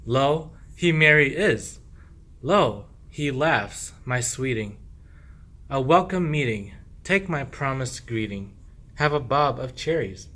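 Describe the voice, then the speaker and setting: A man's voice: medium pitch, expressive, slow pace, a bit bright. A man playing a humble, devout medieval shepherd in a radio play, declaiming rhymed verse. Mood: joyful reverence.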